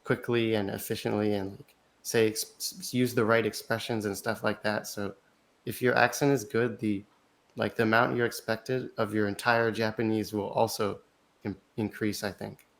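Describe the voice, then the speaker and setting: A man's voice: fairly low pitch, little pitch movement, vocal fry. A man as an interview guest, answering thoughtfully in casual conversation, often restarting his sentences and filling gaps with "like". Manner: thoughtful, halting, with frequent restarts and "like" fillers. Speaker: interview guest, language learner.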